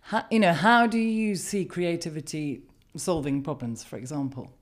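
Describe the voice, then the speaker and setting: A woman's voice: deep voice, normal speed. Hesitant woman podcast host. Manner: hesitant questions with restarts. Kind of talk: podcast interview.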